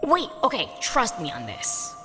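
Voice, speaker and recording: A woman's voice: mid-range voice, wildly swooping pitch, unhurried. An actress playing a sassy, flirtatious gorgon woman in a video game, with a teasing, sarcastic, brash delivery. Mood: bossy, playful. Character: sassy, flirtatious snake-haired gorgon woman without a body. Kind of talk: video game character acting. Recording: long reverb, faint music underneath.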